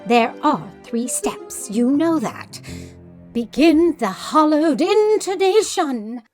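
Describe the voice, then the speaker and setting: Slow, very high-voiced pompous seeress. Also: female voice, animated, a little mellow, soft background music. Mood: solemn, instructing. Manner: portentous, ceremonial. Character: solemn, pompous mystical seer woman who follows rituals literally. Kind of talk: comedic audio drama acting.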